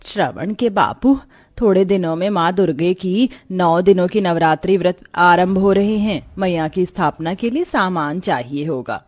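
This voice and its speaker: A woman voicing a fretful, devout elderly mother-in-law from a poor village in an animated moral story. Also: on the low side, moderate pace, natural voice. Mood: practical.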